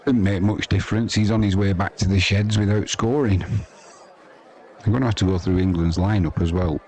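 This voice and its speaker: A man's voice: low voice, fairly even intonation, brisk, a little mellow. A man doing casual, chatty live cricket commentary and talking to viewers, with dry, informal asides. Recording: crowd noise behind the voice. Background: sports crowd.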